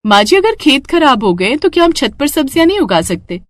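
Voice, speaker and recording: A woman plays a young daughter-in-law in a moral-story cartoon. She is earnest, eager and outspoken, her pitch swinging freely. Faint noise sits in the recording. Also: big pitch swings, a little fast. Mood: hopeful, polite.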